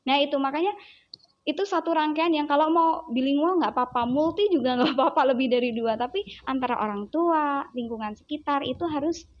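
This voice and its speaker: A woman's voice: sits high, a little fast, muffled recording. A woman with a Javanese accent, a child psychologist chatting as an interview guest, telling stories animatedly and acting out her child's words. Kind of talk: casual interview chat.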